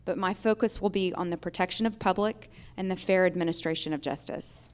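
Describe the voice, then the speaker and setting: A middle-aged woman answering as a judicial candidate at a forum, speaking formally, deliberately and with emphasis. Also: lowish voice, smooth. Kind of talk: prepared political forum answers.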